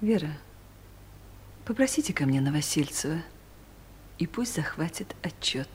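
A woman's voice: deep voice, expressive, measured pace, darkish tone, slight background noise. An actress playing a stern, easily offended middle-aged female director, curt and commanding, in a Soviet radio comedy. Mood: businesslike.

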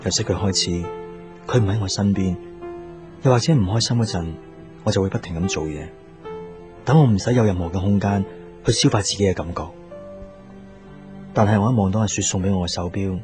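A low male voice from an actor playing a young office boss in love. He is earnest and understated in a radio drama style, with music clearly behind him. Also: close to an everyday voice. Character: lovesick workaholic young man, an office boss. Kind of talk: Cantonese radio drama acting.

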